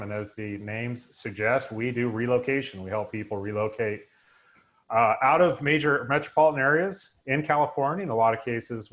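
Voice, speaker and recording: A man's voice: medium pitch, fairly bright tone. A man in his forties hosting a live webinar over a video call, upbeat and full of fillers. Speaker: host. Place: home room indoors, video call.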